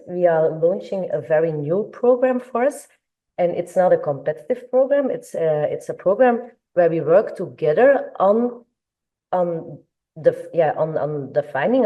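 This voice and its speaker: A woman gives conference panel remarks. Her voice sits low and sounds warm and relaxed. She often restarts her phrases and ends them with a questioning "eh?". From Belgium. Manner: searching, repeats words, tag "eh?". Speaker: funding agency representative.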